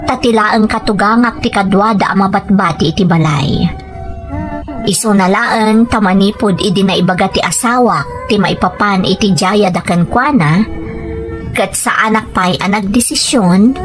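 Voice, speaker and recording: A woman's voice: mid-range voice, moderate pace, over music, lightly acted. A woman playing a determined wife and mother in a dramatized story, earnest and persuasive. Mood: reflective, troubled. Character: determined wife and mother set on working abroad. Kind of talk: dramatized first-person storytelling with dialogue.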